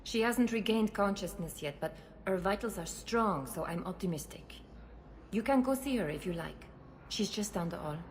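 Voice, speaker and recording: A woman's voice: medium pitch, animated, moderate pace, lightly acted. A woman playing a composed, caring head physician in a dramatic video game. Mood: reassuring. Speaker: doctor. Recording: ambient background noise.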